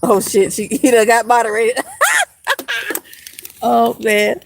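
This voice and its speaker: A woman's voice: on the high side, fairly even intonation, slow, creaky, a little hiss or hum. A woman in her mid-thirties chatting casually on a livestream from outdoors in the woods, laughing often and teasing her viewers. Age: 30s. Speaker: vlogger.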